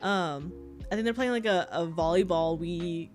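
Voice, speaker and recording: A woman's voice: lowish voice, moderate pace, a little mellow. Young woman, congested, tired, rambling, giggly, casual livestream chat, faint background music. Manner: rambling, giggly, chatty with viewers. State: tired, low energy. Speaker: VTuber streamer.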